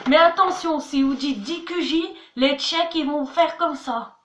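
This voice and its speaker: A woman's voice: sits high, measured pace. A woman giving a homemade language lesson, chatty, spelling words out letter by letter and repeating "voilà" and "attention". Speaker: channel host teaching words. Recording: echoey room.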